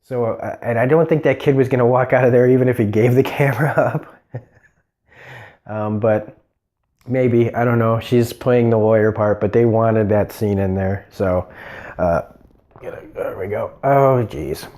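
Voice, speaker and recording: A man's voice: medium pitch, unhurried, dark tone. A man hosting a TV review show, talking casually and rambling with many fillers and restarts. He has a cold and sounds nasal. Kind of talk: casual unscripted episode review.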